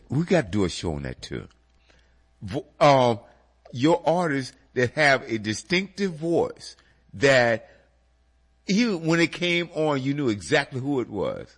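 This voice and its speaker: A man's voice: mid-range voice, breathy edge. A man hosting a casual music radio show, a bit congested and nasal, talking animatedly to his co-host and to listeners he calls folks.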